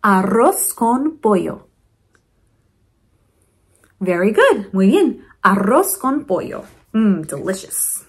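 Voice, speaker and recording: A woman reading a storybook aloud to children in an expressive, encouraging way, with teaching asides. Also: mid-range voice, slow pace, long pauses. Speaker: principal reading a picture book to children.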